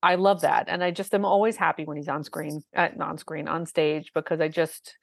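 A woman with a low voice, co-hosting a podcast, talking in an enthusiastic, chatty way with lots of fillers. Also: steady tone, brisk, vocal fry, no echo, clean studio sound. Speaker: podcast co-host. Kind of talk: casual chat about a musical.

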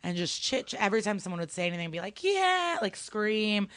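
A woman's voice: medium pitch, very animated, a little fast, a bit bright, no room sound. A young woman from Ukraine, a stand-up comedian, chatting casually as a podcast guest and telling stories with many fillers.

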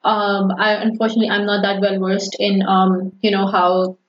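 A woman's voice: mid-range voice, little pitch movement, normal speed. Hesitant Pakistani-born woman, muffled audio. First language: Urdu. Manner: hesitant, many fillers and restarts. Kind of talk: casual conversation. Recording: dull lo-fi podcast audio.

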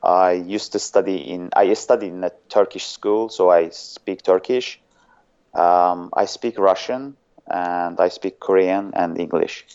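A man's voice: low voice, measured pace, a little mellow, smooth, lo-fi sound. A man from Azerbaijan with an Azerbaijani accent, a guest on an interview show who talks hesitantly and often searches for words. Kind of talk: casual interview chat.

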